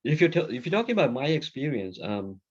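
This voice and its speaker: A man's voice: medium pitch, big pitch swings, fast, slightly rough. A middle-aged man from Sri Lanka answering student questions on a video call, talking conversationally and thinking aloud with restarts and fillers. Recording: dull lo-fi video call audio.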